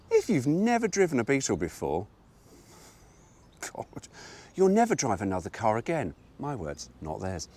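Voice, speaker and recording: Lively, chatty British man. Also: on the low side. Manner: chatty, wry asides, laughs at own jokes. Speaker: host reading a car brochure. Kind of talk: casual storytime reading.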